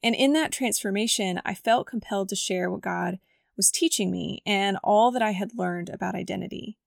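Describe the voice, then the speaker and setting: A woman with a scratchy, gravelly voice hosts a devotional podcast at a middle pitch. She reads her personal story from a script in an earnest, reflective way. The close mic gives a dry sound with no room echo. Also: moderate pace. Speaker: podcast host.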